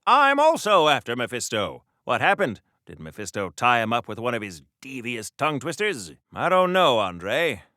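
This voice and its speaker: A golden-age comic hero, a chatty and courteous gentleman with old-time radio flair. His voice is bright and forceful, with very animated intonation, recorded close and dry. He is mannered and blithely oblivious, a video game character through and through. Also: mid-range voice, moderate pace, hardly any pauses, no echo. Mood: chipper, puzzled. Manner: mannered, wordy, theatrical, polite.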